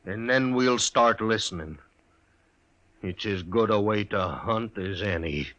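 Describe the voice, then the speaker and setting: An actor playing a vengeful, possessive frontier husband with grim, rustic speech in a western radio drama. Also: male voice, lowish voice, a little slow, fairly bright tone, highs cut off. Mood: possessive, menacing.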